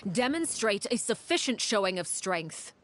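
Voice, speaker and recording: A woman playing a stern, composed head of an orphanage house in a video game, speaking formally and with measured authority. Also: mid-range voice, sing-song, lightly acted. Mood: cold resolve. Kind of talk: dramatic video game acting.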